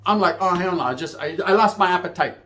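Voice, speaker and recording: A middle-aged man with a Chicano English accent and a slightly high voice spins street stories. He talks casually and profanely, drops in bits of Spanish, and acts out each side of the conversations. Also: animated, fast, bright, forceful tone. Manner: slangy, profane, Spanish phrases mixed in, checks in with "right?". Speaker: storyteller. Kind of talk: casual street storytelling, acting out dialogue.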